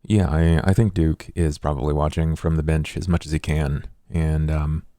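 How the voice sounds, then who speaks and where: A man's voice: extremely low pitch, fairly even intonation, normal speed, dark tone, no room sound, clean studio sound. A man playing a tabletop role-playing game, talking in a laid-back, hesitant way. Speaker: player.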